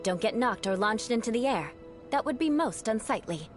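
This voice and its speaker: An actress plays a poised young female knight of noble birth in an anime-style game. She speaks formally, as if tutoring, in a fairly high, animated voice. Music plays clearly behind her. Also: moderate pace, natural voice. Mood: amused, challenging. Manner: formal, refined, instructive. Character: poised young aristocratic female knight, haughty, refined, teasing.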